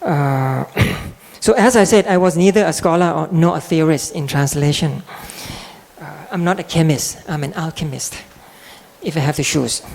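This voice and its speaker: Gravelly Thai man giving a lecture. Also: on the high side, darkish tone. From Thailand. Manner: halting, restarts and repeats words, clears throat often. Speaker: guest lecturer and translator. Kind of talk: public lecture.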